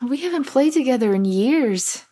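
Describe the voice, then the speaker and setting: An actress playing an eager, easily indignant overachieving sister in an audio play, earnest and emphatic. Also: female voice, sits high, lively intonation, moderate pace, dark tone.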